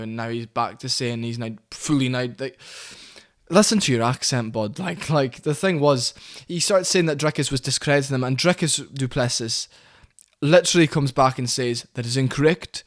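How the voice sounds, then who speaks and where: A man giving heated, opinionated commentary on fighters, often quoting others in put-on voices. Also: medium pitch. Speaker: commentary channel host.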